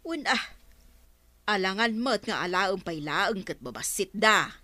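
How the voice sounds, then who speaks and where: A suspicious village councilor. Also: female voice, mid-range voice, lively intonation, a little slow, bright, forceful tone, dry recording. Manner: suspicious, scolding. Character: sharp-eyed woman councilor keeping fish. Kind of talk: radio comedy drama acting.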